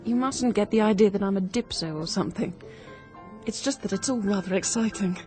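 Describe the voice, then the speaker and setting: An actress playing a keen, quick-witted young woman turned amateur sleuth in a radio drama, brisk, confident and persuasive. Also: mid-range voice, darkish tone, soft background music, close to an everyday voice. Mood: excited, intent.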